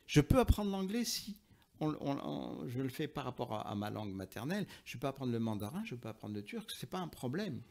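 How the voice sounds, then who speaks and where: A man with a noticeably creaky voice at a middling pitch. He talks as an invited expert in an interview, explaining his position emphatically and rephrasing as he goes. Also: sing-song, brisk, a little mellow. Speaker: interview guest, linguist and author. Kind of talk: explanatory interview talk, argumentative.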